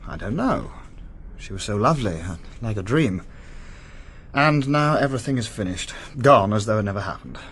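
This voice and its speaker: An actor in a radio drama playing a sensitive upper-class young English gentleman, reserved, uneasy and hesitant. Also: fairly low pitch, expressive, normal speed, husky, full character voice. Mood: pained, hesitant.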